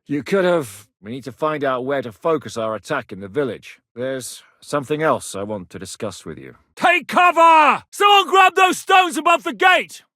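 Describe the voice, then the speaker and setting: An actor playing a battle-hardened medieval rebel commander in a video game, gruff and dry-humoured, with a blunt, commanding, sardonic manner. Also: male voice, on the high side, big pitch swings, moderate pace, hardly any pauses, pushed and bright, no echo, shouting. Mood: urgent battle shouting. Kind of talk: dramatic video game character acting.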